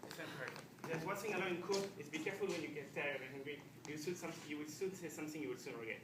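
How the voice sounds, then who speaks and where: A man's voice: on the high side, racing, natural voice. A man playing an exasperated, sarcastic young lawyer husband quarrelling with his wife in a stage comedy-drama. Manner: sardonic, argumentative. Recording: distant, echoey room with background noise.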